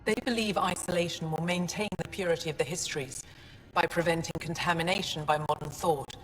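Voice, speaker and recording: An actress playing a guarded, no-nonsense female alien scientist in a video game, speaking in a measured, frank way. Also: on the low side, fairly even intonation, lightly acted. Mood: scornful. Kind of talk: video game dialogue acting. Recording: long reverb, music underneath.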